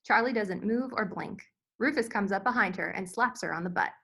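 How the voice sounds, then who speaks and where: A woman's voice: medium pitch, a little fast, creaky, a bit bright, breathy edge. A woman reading screenplay stage directions as scripted narration for a table read.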